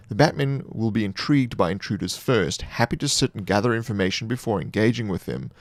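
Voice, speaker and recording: A man reading scripted fantasy monster lore as a narrator, in a measured, formal storytelling manner. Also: fairly low pitch, vocal fry, dry recording.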